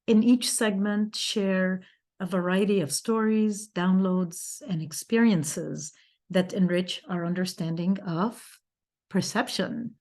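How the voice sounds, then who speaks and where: A woman's voice: medium pitch, takes it slowly. A woman co-hosting a talk show, telling a personal story in a rambling, easygoing way with small laughs. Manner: rambling, restarts, soft laughs. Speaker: co-host and speaker. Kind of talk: storytelling in casual chat.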